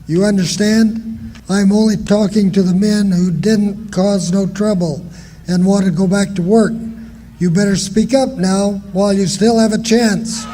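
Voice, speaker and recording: A man's voice: high voice, fairly even intonation, a little slow, dark tone, slight background noise, low-quality recording. A man playing a threatening small-town sheriff in a radio drama, barking orders and haranguing. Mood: stern, coercive.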